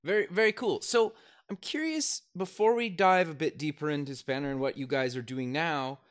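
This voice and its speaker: A man's voice: a little high, normal speed. A man hosting a podcast, asking questions in an upbeat, curious way. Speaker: podcast host. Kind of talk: interview questions.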